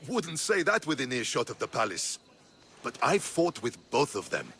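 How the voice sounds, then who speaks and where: An actor playing a loyal, composed, wry Spartan soldier in a video game, assured and courteous. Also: male voice, mid-range voice, sing-song, moderate pace, fairly bright tone, a little hiss or hum. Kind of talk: video game character acting.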